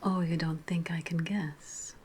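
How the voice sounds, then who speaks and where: A woman's voice: low-pitched, fairly even intonation, a little slow, a little mellow, slight background noise. A woman voicing a haughty, playful ancient Roman noblewoman in a whispered ASMR roleplay, teasing and savoring her words, often repeating phrases. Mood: playful, probing.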